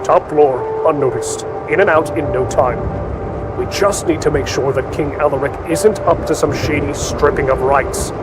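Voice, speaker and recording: A man acting a gruff, loyal conspirator in a dark fantasy audio drama. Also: fairly high pitch, normal speed, heavy background noise, close to an everyday voice. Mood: reassuring. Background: loud music under the voice.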